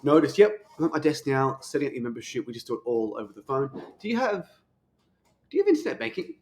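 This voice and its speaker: A man giving coaching commentary on sales technique, talking fast with the occasional stutter. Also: medium pitch, very animated.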